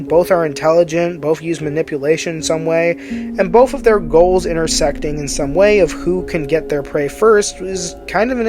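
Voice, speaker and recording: A video essay host giving scripted commentary, explaining personal opinions in a measured, qualifying way. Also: medium pitch.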